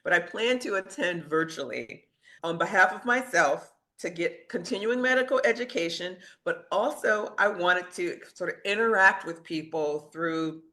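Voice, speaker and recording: A woman's voice: lowish voice, bright, forceful tone. Middle-aged lady doctor chatting as a guest, earnest, little laughs here and there. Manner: earnest, laughs at her own defiance. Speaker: physician guest. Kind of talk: conversational interview storytelling.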